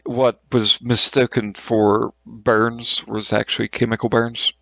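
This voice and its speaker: A man acting as game master, narrating a tabletop game in a halting, colloquial, informal way. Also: mid-range voice, little pitch movement, gravelly, a bit bright, dry recording.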